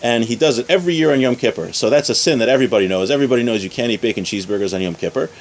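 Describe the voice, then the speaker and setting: Male religious teacher, mid-pitched, informal class delivery, explanatory, restates points in plain everyday terms. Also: rapid-fire. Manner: explanatory, repeats and rephrases points with everyday examples. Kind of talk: informal religious lesson, reading source text then explaining.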